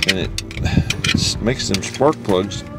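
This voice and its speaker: An older man working on an old truck outdoors, calmly giving practical instructions. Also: on the low side, slow pace, vocal fry, over loud music. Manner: calm, practical, gives instructions. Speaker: father and co-host mechanic. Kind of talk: casual shop talk.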